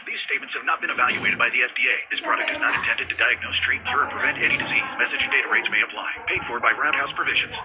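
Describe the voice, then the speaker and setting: A man's voice: medium pitch, fairly even intonation, rapid-fire, few pauses. A man reading a scripted legal disclaimer at the end of a radio advertisement. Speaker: disclaimer announcer. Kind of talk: rapid legal disclaimer read. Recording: radio ad with heavy background noise.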